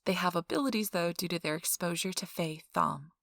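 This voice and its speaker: A woman playing a friendly, chatty intake interviewer in a soft ASMR role-play, explaining things politely and informally. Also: lowish voice, a little fast, vocal fry, no room sound, studio quality. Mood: calm, businesslike. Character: friendly, chatty female intake interviewer at a vampire blood donation center.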